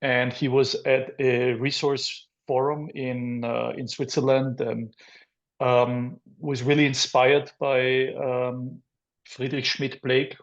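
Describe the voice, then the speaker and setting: A middle-aged man from Austria speaks in a flat, even voice. He talks in a measured way, pausing often. His audio sounds dull and muffled. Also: mid-range voice. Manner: measured, many "um" pauses. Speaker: guest, business development director. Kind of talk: interview answers.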